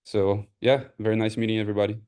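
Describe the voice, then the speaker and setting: A man's voice: fairly low pitch, little pitch movement, fast talker, a little mellow. A man from Brazil with a Brazilian accent, introducing himself casually as a team lead on a video call.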